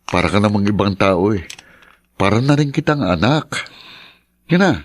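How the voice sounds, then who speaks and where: A man's voice: lowish voice, lively intonation, normal speed. A man playing a kind but firm fatherly uncle in a Filipino radio drama, with a Filipino accent. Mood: kind, practical. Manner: paternal, firm, reassuring.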